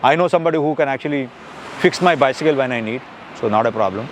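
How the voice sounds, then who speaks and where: A man's voice: mid-range voice, fast talker, noisy background. A man in his late fifties with an Indian accent, chatting casually as a customer in a review interview, rambling and repeating words for emphasis. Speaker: customer giving a product review. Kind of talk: casual unscripted interview chat.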